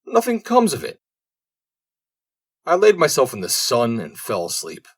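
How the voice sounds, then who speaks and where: Casting: male narrator as unbothered idle manservant in a fairy tale, rough voice, resigned, very varied intonation. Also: mid-range voice, wildly swooping pitch, unhurried, voice pushed up, heavily acted. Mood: nonchalant. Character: placid lazy servant, unbothered and resigned.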